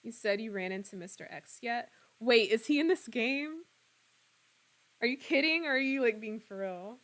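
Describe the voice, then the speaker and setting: A woman in her twenties, slightly hoarse, chatting casually and laughing often as she streams a game and answers her viewers. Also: on the high side, expressive, moderate pace, fairly bright tone. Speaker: streamer playing a horror game. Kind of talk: casual live-stream chat, reading out viewer comments.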